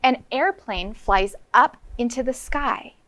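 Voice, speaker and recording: An American woman with a slightly high voice reads and teaches for kindergartners. She explains things simply and clearly for small children. The sound is dry, with little room echo. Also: slow, fairly bright tone. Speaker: kindergarten teacher, TV classroom co-host. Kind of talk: read-aloud lesson for young children.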